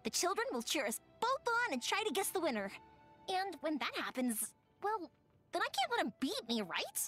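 A woman voicing a cheerful, warm-hearted young fireworks maker who tells stories in an animated, chatty way, as a video game character. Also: very high voice, moderate pace, soft background music, exaggerated voice. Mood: playful. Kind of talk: video game character voice lines, conversational storytelling.

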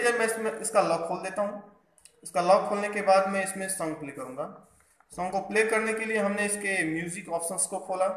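A man narrating a hands-on repair tutorial as an instructor, explaining step by step and mixing in English technical terms. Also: sits high. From India. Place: indoors at a repair workbench. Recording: echoey room, faint background noise.

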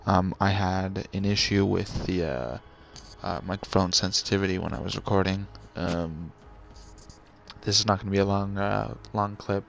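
Deep quiet-talking young man, gravelly. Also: steady tone, moderate pace. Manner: hesitant, many ums and uhs, laughs at himself. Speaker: gaming channel host. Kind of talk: casual talk to viewers.

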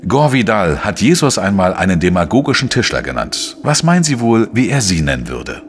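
Deep-voiced, sardonic investigative journalist. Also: male voice, very animated, a little fast, slight background noise. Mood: sardonic, defiant. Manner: sardonic, laconic. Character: dry, defiant male investigative journalist, narrating his case. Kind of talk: radio play dialogue and first-person narration.

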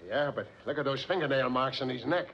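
An actor playing a blunt, slangy, grumbling police underling in a 1940s radio crime drama. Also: male voice, mid-range voice, fairly quick, vocal fry, a bit bright, breathy edge, lo-fi sound. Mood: blunt, excited.

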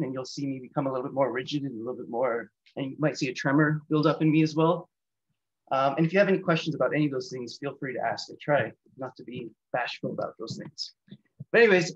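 Higher-voiced Canadian man on a dull call. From Canada, near Toronto. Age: 30s. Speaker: guest speaker, patient advocate. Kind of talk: seminar presentation with slides. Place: small room indoors, on a video call. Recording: dull lo-fi video call audio.

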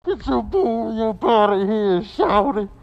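Humbled animal , voiced by a man, slangy and pleading, quiet against clear background noise. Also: high-pitched, animated, takes it slowly, dull audio, exaggerated voice.

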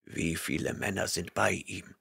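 A man's voice: deep voice, a little slow, creaky, fairly bright tone, breathy edge, full character voice. A man voicing a burly barbarian warrior who growls and mutters his questions, impatient and menacing, in an audiobook reading. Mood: gruff.

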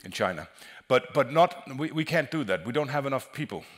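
A man's voice: mid-range voice, expressive, fairly bright tone. Man presenting at a conference. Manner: halting, repeats words as he explains. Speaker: conference speaker, acoustic designer. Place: large conference hall on a stage.

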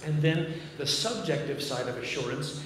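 Massachusetts-accented pastor in an echoey hall. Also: male voice, a little high, moderate pace, a bit bright. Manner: earnest, conversational preaching with dry self-deprecating humor. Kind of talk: sermon. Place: large church auditorium stage. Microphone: podium mic, filmed by a far camera. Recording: echoey hall from far off with noise.

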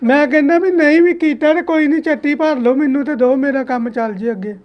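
High-pitched Punjabi man, insistent prank caller. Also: brisk. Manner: pleading, insistent. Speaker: prank caller posing as a vegetable seller. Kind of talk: prank phone call. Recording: phone call with faint noise.

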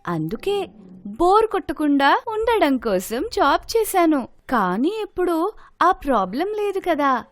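A woman acts out a spoiled young storybook wife. Her voice is high and lively, breezy and self-assured, recorded close and dry. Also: dry recording. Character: spoiled, breezy rich young daughter-in-law.

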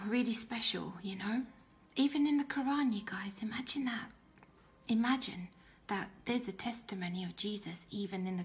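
Bright-voiced woman, earnest and halting. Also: on the high side. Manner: halting, reflective, earnest, repeats phrases for emphasis. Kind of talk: personal testimony to camera, informal. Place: small room at home, indoors. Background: music.

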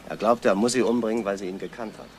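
An actor playing an eager, inquisitive police trainee theorising in a German crime radio play. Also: male voice, on the low side, steady tone, quick pace, noisy background, natural voice. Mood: eager, puzzled.

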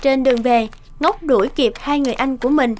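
A woman's voice: high-pitched, a little fast, a little mellow, slight background noise, close to an everyday voice. A woman working as the scripted narrator of a cartoon fairy tale, telling the story. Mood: storytelling.

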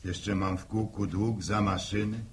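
An actor playing a weary, irritable older farmer who grumbles and stammers when cross, in a 1960s radio drama. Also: male voice, fairly low pitch, flat delivery, unhurried, lightly acted. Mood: resigned, grumbling. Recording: old 1960 radio drama recording.

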